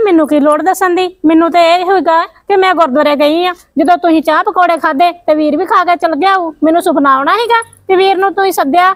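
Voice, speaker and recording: A woman acting out a hot-tempered sister-in-law, blunt and bossy, scolding in a high voice. The sound is dry and close, with no room echo. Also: fairly bright tone. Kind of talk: village family drama acting.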